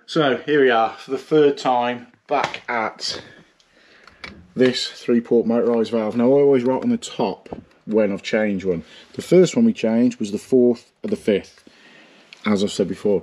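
A man's voice: medium pitch, a little slow, a little mellow. A man, a plumber vlogging frankly about a stressful job, swearing and rambling a little. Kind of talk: casual vlog with job commentary. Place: parked van, then a small bathroom.

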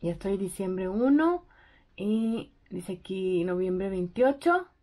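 Excited, chatty young woman vlogging. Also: mid-range voice, takes it slowly. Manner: excited, chatty, thinking aloud. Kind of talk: casual vlog narration.

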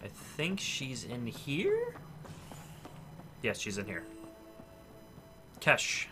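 A man's voice: medium pitch, huge pitch swings, very slow, music in the background. A man chatting casually as he comments on a video game with friends. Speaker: gamer commentator. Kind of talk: casual let's play chat. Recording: noisy gameplay commentary recording. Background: video game audio.

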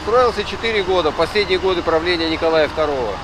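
A middle-aged man acts as a local guide while he rides a motorbike. He explains each sight slowly and steadily in a high voice. Road noise and wind roar loudly around him. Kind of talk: tour guide commentary. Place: outdoors, riding a motorbike in city traffic. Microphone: action camera on the bike. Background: traffic and wind.

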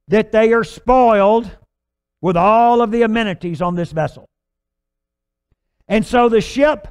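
Older male pastor, high clear voice. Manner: animated, gesturing storyteller with filler restarts. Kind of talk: storytelling sermon. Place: large church stage with purple lights.

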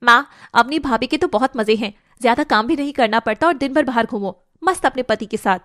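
A woman voicing a jealous, sulky young daughter who whines and complains in an animated family drama. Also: on the high side, a little fast, dry recording, studio quality, lightly acted. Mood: gossipy. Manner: whining, complaining.

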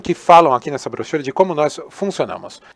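A man with a Brazilian accent interpreting a conference talk live, in halting pieces with self-corrections. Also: on the high side, very animated, creaky. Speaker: simultaneous interpreter.

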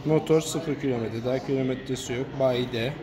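A man's voice: mid-range voice, fairly even intonation, a little fast, a crowd in the background. A man filming a walkaround review in a showroom, pointing out parts in a plain, matter-of-fact way. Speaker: motorcycle reviewer. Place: indoor motorcycle showroom. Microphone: handheld phone camera.

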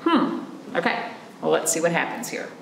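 A woman in her thirties, a children's librarian, reading a picture book aloud in an animated, playful way and asking the children questions. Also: low-pitched, normal speed, vocal fry, roomy sound, noisy background. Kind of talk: storytime read-aloud for children. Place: library room in front of a painted wall.

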